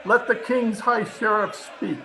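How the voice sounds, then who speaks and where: A man's voice: high voice, fairly even intonation, measured pace, a crowd in the background. A man playing a gloating, merciless gallows executioner, jeering in archaic old-time radio horror style. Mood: gloating, loud. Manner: jeering, crowing, archaic speech. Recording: home remote recording with clear background noise.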